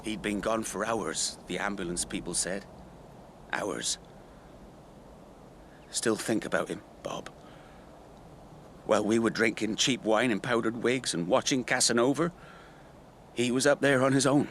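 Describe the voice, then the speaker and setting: An actor playing a rueful rural man in a naturalistic radio drama, telling his memories in a halting, conversational way. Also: on the low side, steady tone, a bit bright, a little hiss or hum. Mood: sombre. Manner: halting, reflective, conversational storytelling. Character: rueful rural man recalling an old friend's tragedy.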